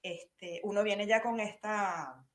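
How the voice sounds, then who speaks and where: A young adult Venezuelan woman talks as a podcast guest in a relaxed conversational way. She thinks aloud, pausing on fillers and circling back to rephrase, with a Venezuelan Spanish lilt. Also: medium pitch. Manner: thoughtful, hesitant, with many fillers and restarts. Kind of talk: conversational interview.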